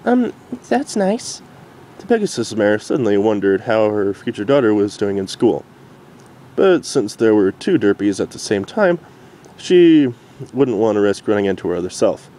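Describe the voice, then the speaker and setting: Mellow man reading a story haltingly. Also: on the low side, animated. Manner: halting, stumbling over words. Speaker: amateur fan-fiction reader. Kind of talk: story narration read aloud.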